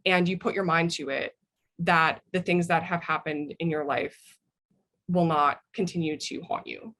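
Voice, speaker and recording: A woman's voice: on the low side, fairly bright tone. A woman speaking as a guest author, giving thoughtful answers in a book interview.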